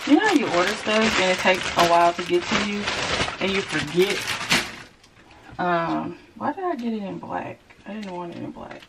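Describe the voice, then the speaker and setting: A woman's voice: fairly low pitch, lively intonation, normal speed, gravelly. A woman in her thirties chatting casually to viewers as she shows clothes in her closet, in a rush and calling them y'all. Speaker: vlogger. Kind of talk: casual clothing haul chat. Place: walk-in closet at home. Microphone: camera set up across the closet. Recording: hissy home video.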